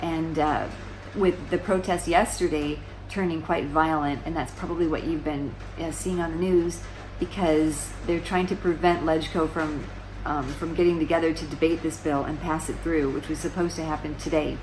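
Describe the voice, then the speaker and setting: A woman's voice: sits low, fairly even intonation, normal speed, hardly any pauses, background noise. A woman in her forties explaining current events to camera in a casual, conversational way, often restarting sentences and asking rhetorical questions. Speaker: vlogger. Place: small room indoors, seated on a sofa.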